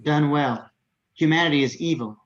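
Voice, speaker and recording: A man's voice: a little high, moderate pace, natural voice. A man voicing a powerful, ominous psychic creature with solemn, portentous delivery in a script table read. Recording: dull, noisy home microphone with clicks.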